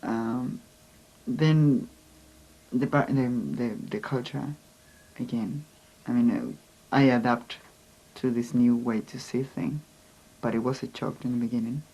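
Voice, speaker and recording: A man with a Spanish accent, a native Spanish speaker and immigrant musician, speaking earnestly and haltingly in a documentary interview. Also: mid-range voice, steady tone, warm tone, poor audio.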